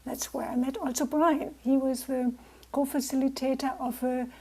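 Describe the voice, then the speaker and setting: A woman in her sixties from Germany, with a German accent, speaking gently and reflectively as a guest speaker to a club. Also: high voice, steady tone, normal speed, breathy edge. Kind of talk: personal talk to a club.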